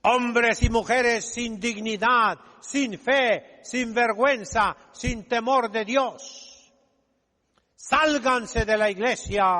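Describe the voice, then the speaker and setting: Older male preacher, extremely slow delivery, emphatic scolding homily, long church reverb. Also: high-pitched, a bit bright. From Mexico. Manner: emphatic, scolding, deliberate with dramatic pauses. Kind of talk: fiery homily, exhorting the congregation. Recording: echoing hall with long reverb.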